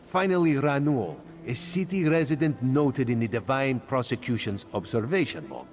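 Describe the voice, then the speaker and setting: Man doing a playful, secretive cat-man spy for a game, lively sing-song delivery, speaks of himself in third person, faint music behind. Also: medium pitch, normal speed, natural voice. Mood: sly. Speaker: spymaster quest giver. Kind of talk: video game character dialogue.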